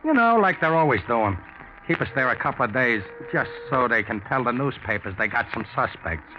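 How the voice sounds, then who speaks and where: Casting: male actor as talkative park bum, lively swooping pitch, slangy, grumbling, old-time radio drama. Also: fairly quick, close to an everyday voice. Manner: folksy slangy talk, animated. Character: chatty, grumbling, streetwise hobo. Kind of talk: 1940s radio acting. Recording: muffled old radio recording with faint noise.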